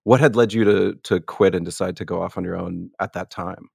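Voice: low voice, steady tone, a little fast, vocal fry, darkish tone, breathy edge, no room sound, studio quality. An interviewer asking questions in a conversational, slightly hesitant way.

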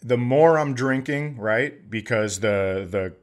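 A man's voice: mid-range voice, slow pace. Profane, ranting male comedian. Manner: profane, mock-indignant ranting. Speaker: comedian and podcast co-host. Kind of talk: casual podcast chat and storytelling.

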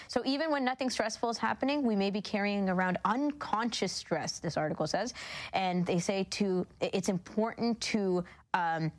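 Lady radio co-host, mid-pitched voice, chatty and explaining stuff casually. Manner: conversational, explanatory. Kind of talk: casual explainer of a lifestyle article.

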